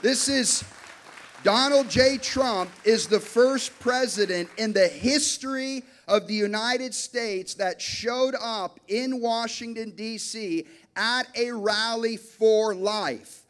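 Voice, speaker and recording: A man in his thirties preaching a sermon on stage into a handheld mic, emphatic and deliberate, with dramatic pauses. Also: high voice, a bit bright, some chatter behind, slight background noise. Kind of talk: sermon to a church congregation. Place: large dark church auditorium, on stage before an audience. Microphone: handheld microphone.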